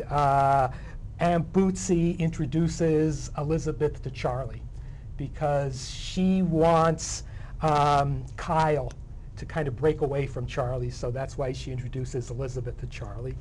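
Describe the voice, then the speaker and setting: A man's voice: fairly high pitch, darkish tone, a little hiss or hum, rough recording. A middle-aged man chatting casually in an interview, hesitant and often repeating his words. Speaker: playwright and actor discussing a play.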